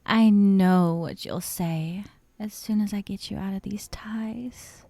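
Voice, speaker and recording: Woman playing a slow, breathy, possessive yandere girlfriend. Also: mid-range voice, steady tone, dry recording. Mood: coaxing, smug. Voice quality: soft, breathy, often dropping into whispers. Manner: slow, teasing, coaxing with veiled threats. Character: possessive, dominant young yandere woman, sweetly menacing kidnapper. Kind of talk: ASMR roleplay, intimate monologue to the listener. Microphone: close, dry microphone.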